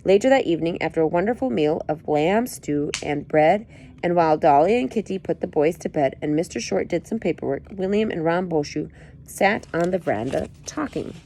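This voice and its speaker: Reader reading a book chapter aloud, lively up-and-down melody, playful asides. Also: female voice, fairly low pitch, fairly quick, a little hiss or hum.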